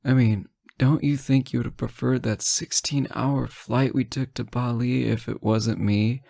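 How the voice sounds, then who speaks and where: A man playing a sleep-deprived, teasing boyfriend on an intimate late-night call, soft-spoken, hesitant and gently joking. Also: medium pitch, steady tone, unhurried, gravelly, no room sound, studio quality. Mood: playful, fond. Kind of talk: intimate audio roleplay, one side of a phone call.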